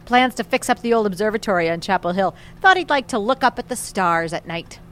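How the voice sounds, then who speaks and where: A woman's voice: medium pitch, animated, fairly quick, lightly acted. A woman playing a feisty, eccentric older mother who runs a boarding house, wry and commanding. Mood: proud, insistent. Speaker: actor in audio drama. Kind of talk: naturalistic audio drama acting.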